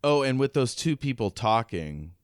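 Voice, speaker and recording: A man's voice: medium pitch, very animated, normal speed, smooth, dry recording, studio quality. A man co-hosting a podcast, casually musing over a book and posing questions. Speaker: podcast co-host.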